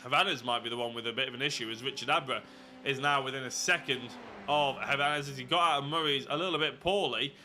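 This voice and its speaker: A man's voice: fairly high pitch, bright, forceful tone. A young man giving live sim racing commentary, congested and nasal from hay fever, chatty and often correcting himself. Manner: chatty, self-correcting, apologetic asides.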